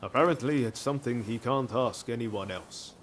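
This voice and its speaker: Man acting an easygoing, mid-pitched samurai buddy. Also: moderate pace, a little hiss or hum, close to an everyday voice. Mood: eager, relaxed. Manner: casual, chummy, upbeat. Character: easygoing, eager, friendly samurai companion. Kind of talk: scripted video game cutscene acting.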